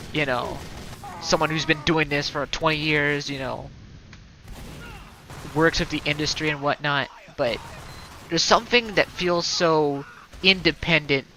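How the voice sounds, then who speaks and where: A woman's voice: low voice, bright, forceful tone, slight background noise, poor audio. A woman streaming a game in casual, rambling chatter, slightly stuttering and congested from allergies. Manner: rambling, heavy on fillers and restarts.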